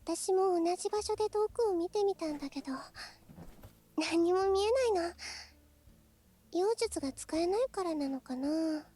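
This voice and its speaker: A woman's voice: extremely high pitch, fast talker, long pauses, mellow, soft background music. A woman voicing a childlike, naive fox-spirit girl, sweet and earnest, in a Japanese visual novel. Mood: wistful, earnest.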